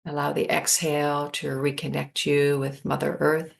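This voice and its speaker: A woman leading a guided meditation, speaking calmly in spacious phrases with long pauses. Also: deep voice, gravelly.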